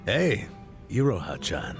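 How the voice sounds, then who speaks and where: An actor with an American accent playing a gruff, boisterous, good-humored older ex-cop in a video game, with brash, colloquial delivery. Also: male voice, lowish voice, wildly swooping pitch, slow, soft background music, heavily acted. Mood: gruff banter. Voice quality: gravelly. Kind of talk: dubbed video game cutscene acting.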